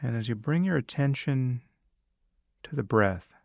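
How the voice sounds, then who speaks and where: A man leading a guided meditation, giving calm, measured instructions with long pauses. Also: mid-range voice, gravelly, dry recording.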